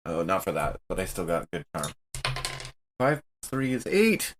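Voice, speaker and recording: A man with a low voice talks casually as a player in a tabletop game. The recording has clear background noise and clicks behind him. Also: huge pitch swings, unhurried. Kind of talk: casual table talk and light in-character play.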